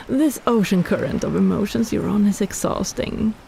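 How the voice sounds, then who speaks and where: A woman voicing a possessive, flirtatious female kraken in a romantic roleplay audio, teasing in a sultry, playful, unhurried way with sighs. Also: mid-range voice, gravelly, dark tone, slight background noise. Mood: flirty teasing.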